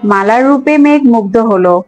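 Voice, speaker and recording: A woman's voice: medium pitch, expressive, a little fast, darkish tone, dull audio, faint music behind. A woman narrating a cartoon fairy tale in a scripted storytelling style.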